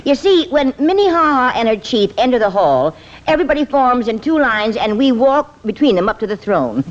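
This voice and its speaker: A woman's voice: on the high side, sing-song, normal speed. An American actress playing a plain-spoken, good-humored older woman with a folksy manner in an old radio comedy. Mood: brisk, folksy. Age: middle-aged or older. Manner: folksy, blunt, chuckling. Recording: muffled old-time radio recording.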